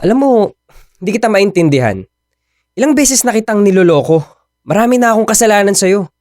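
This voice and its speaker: An actor playing a scornful, fed-up boyfriend in a radio drama, curt and exasperated. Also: male voice, high voice, lively intonation, a little fast, darkish tone, no echo, studio quality.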